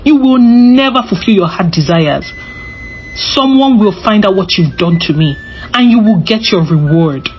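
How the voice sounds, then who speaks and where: A woman's voice: medium pitch, expressive, a little slow, dull audio, slight background noise, lightly acted. A woman storyteller voicing a wronged, indignant old village woman in a folktale, solemn and accusing. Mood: bitter, cursing.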